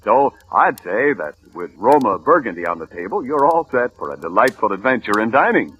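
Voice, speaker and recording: A male announcer reading sponsor copy in smooth, salesmanlike 1940s radio style. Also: on the low side, sing-song, fairly quick, fairly bright tone. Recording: dull old radio broadcast with music.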